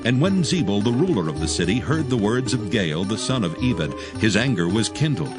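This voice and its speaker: A man serves as narrator for a dramatized Bible recording. His voice is fairly low with very animated intonation. Music plays clearly behind the narration. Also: moderate pace.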